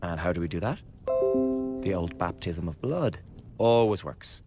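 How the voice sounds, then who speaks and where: Glib, low-voiced scheming conspirator. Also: male voice, animated, measured pace, a little mellow, faint music behind. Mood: conspiratorial, coaxing. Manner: chatty, insinuating, blackly flippant. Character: glib, scheming young male revolutionary conspirator. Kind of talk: radio drama acting. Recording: studio radio drama with background ambience.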